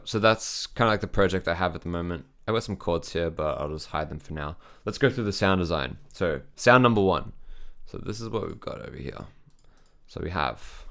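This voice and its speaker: A man's voice: sits low, fairly quick, fairly bright tone. A young man, a music producer, casually explaining his synth sound design, thinking aloud with lots of fillers. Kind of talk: casual software tutorial.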